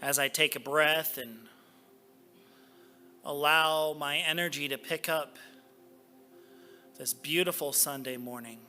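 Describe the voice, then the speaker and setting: A man speaking as a spiritual practitioner in a church sanctuary, giving devotional readings and prayer in deliberate, measured phrases with pauses. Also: a little high, sharp tone, faint music behind.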